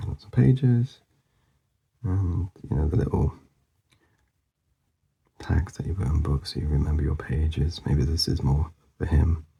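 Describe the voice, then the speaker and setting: A man talking casually as he works through a drawing, mumbling and rambling in a soft voice that often drops to a whisper, and he is losing his voice. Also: extremely low pitch, gravelly, husky. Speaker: artist demonstrating a drawing.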